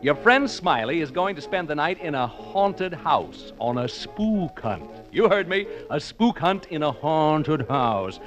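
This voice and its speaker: A brash radio disc jockey in a 1940s radio drama. His patter is breezy and boastful, pitched high and swinging widely up and down. A little music plays faintly behind him on the old broadcast. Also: very animated, unhurried, hardly any pauses, a bit bright. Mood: showy. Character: brash, wisecracking male disc jockey showman.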